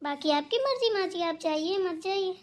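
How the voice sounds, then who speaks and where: A woman's voice: extremely high pitch, animated, normal speed. A woman voicing a cheeky young daughter-in-law in a Hindi family cartoon, matter-of-fact and ready to answer back.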